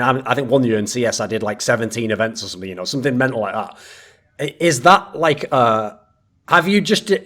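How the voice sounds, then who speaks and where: A man in the interviewer's chair lays out long, rambling questions, building context at length before he asks. His voice sits at an ordinary middle pitch. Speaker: interviewer, show host.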